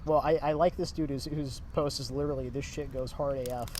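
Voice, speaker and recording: Fast-talking man in noisy outdoor setting. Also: medium pitch, steady tone. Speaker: podcast co-host. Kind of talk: casual chat and reading aloud. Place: outdoors on location in a town. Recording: clear outdoor street and vehicle noise.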